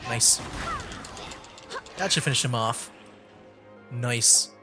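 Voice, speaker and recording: A man streams a video game and comments casually. His mid-pitched voice is reactive and slangy, with faint music in the background. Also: drawn-out words.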